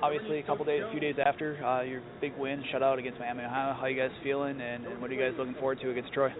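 A man's voice: mid-range voice, level tone, racing. A man working as a sports reporter, asking questions in an informal field interview. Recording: muffled field recording, loud crowd behind. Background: loud crowd chatter.

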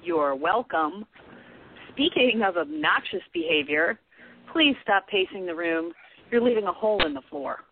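A woman's voice: on the low side, lively intonation, moderate pace. A woman playing a snippy, sarcastic, sceptical sister in an amateur radio play. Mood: irritated, sarcastic. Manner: sarcastic, exclamatory. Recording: husky-sounding tinny phone-like line.

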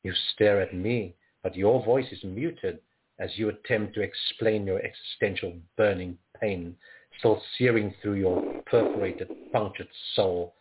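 A man from Africa, reading his poems aloud in a measured, rhythmic way. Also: fairly low pitch, fairly even intonation, slight background noise. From South Africa. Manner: measured, rhythmic recitation with pauses. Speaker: poet. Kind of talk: poetry reading. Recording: tinny phone-like audio.

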